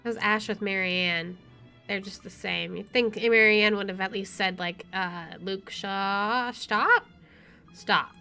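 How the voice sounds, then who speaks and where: A woman's voice: mid-range voice, steady tone, a little slow, creaky, pushed and bright. A woman streamer reading a visual novel aloud and adding casual commentary. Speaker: let's play streamer. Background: faint game music.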